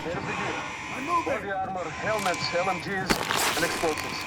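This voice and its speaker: An actor playing an urgent, loyal comrade in a military shooter game. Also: male voice, high voice, steady tone, moderate pace, natural voice. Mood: businesslike. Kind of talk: acted combat game dialogue. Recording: distant voice under loud gunfire noise.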